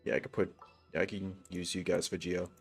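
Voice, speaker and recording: A man's voice: low voice, little pitch movement, brisk, vocal fry, slightly rough, faint music behind. A man live streaming a game, chatting casually in a rambling, slangy and hesitant way. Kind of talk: casual gaming livestream chat.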